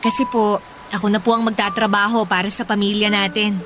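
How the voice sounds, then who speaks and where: Woman acting a caring young Filipina daughter, clear ambient noise. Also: mid-range voice, flat delivery, brisk, natural voice. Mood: tender, worried. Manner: earnest, respectful, fussing over her father. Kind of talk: radio drama acting in Tagalog. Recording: noisy ambience behind the voice.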